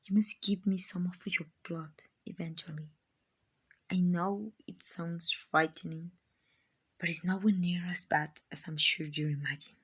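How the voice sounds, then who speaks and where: A woman acting as a kind, gentle female vampire who owns a mansion, in a hushed, breathy, reassuring ASMR roleplay. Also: fairly low pitch, steady tone, unhurried. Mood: reassuring, tender. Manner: hushed, breathy, tender and reassuring, often near whispering.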